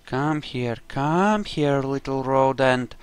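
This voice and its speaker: A man with a mid-pitched voice commentates on a video game he is playing. He talks slowly, rambling through his choices as he makes them. His delivery is casual, with dry, wry humor. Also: smooth. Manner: rambling, thinking aloud. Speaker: gamer narrating a roleplay playthrough.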